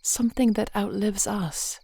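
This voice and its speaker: Woman acting as a wise dragon queen wife, mid pitch w lots of creak, tender n measured, fantasy audio roleplay. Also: fairly even intonation, mellow, slight background noise. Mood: thoughtful, reassuring. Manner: measured, thoughtful, tender, formal phrasing.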